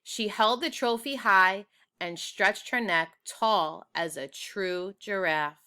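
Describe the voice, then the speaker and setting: A woman reads a children's book aloud. Her voice is bright and sits at an average pitch. She narrates expressively and addresses her young listeners directly. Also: slow, clean tone.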